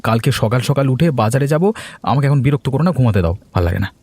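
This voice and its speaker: A man's voice: mid-range voice, animated, very fast, a little mellow, dry recording. A man voicing a lazy young husband in a cartoon who grumbles and complains. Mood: grumbling. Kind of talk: cartoon voice acting.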